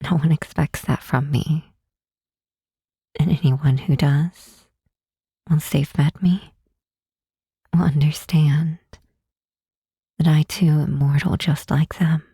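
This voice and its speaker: A very breathy, hushed Demon Queen voiced by a woman offers comfort in an ASMR roleplay. Her voice is low and mellow, close to a whisper. She is gentle and earnest, soothing and reassuring throughout. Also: dry recording, heavily acted. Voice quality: soft, breathy, husky half-whisper.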